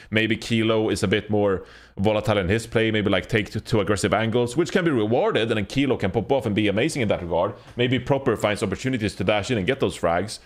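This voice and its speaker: A man hosting a casual esports panel discussion, talking in a blunt, rambling, profane way. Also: lowish voice. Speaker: podcast host.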